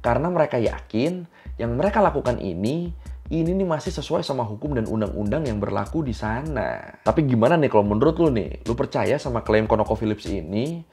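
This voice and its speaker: A man's voice: medium pitch, animated, a little fast, warm tone. A young adult man with a Jakarta Indonesian accent hosts an explainer, chatting casually and in slang, speaking straight to the viewer. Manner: colloquial, slangy, addresses the viewer directly. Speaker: explainer video host.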